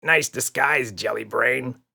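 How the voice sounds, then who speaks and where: A man's voice: mid-range voice, slow, gravelly, sharp tone, husky, exaggerated voice. A man voicing a skeptical, sarcastic monster sidekick in a children's audiobook, with a dry delivery. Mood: sneering. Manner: snickering, mumbling, dry.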